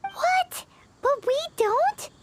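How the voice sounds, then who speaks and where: A woman voicing a tiny, curious, excitable childlike companion with a squeaky voice in a video game. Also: drawn-out words, heavy background noise, exaggerated voice.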